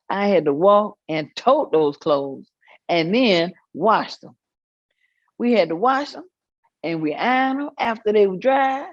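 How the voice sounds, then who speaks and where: A woman's voice: lowish voice, no echo, dull audio. An actress performing an elderly church-going woman's recollections in a staged reading, folksy and full of small asides. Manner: folksy storytelling with hummed asides and chuckles.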